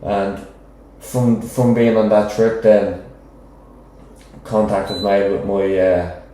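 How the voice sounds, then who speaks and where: A man's voice: lowish voice, level tone, takes it slowly, dark tone. A young man with a Dublin accent, a professional boxer chatting casually as a guest, rambling and often asking "do you know what I mean". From Ireland. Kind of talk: casual interview chat. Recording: very echoey room.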